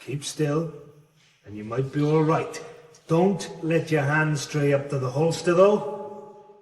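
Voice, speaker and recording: Echoey, quietly menacing male criminal. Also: fairly high pitch, far from the mic. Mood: threatening. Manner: measured, probing, menacing. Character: suspicious, controlled male criminal backer, quietly threatening. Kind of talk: radio drama acting. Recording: echoey room, off-mic radio scene.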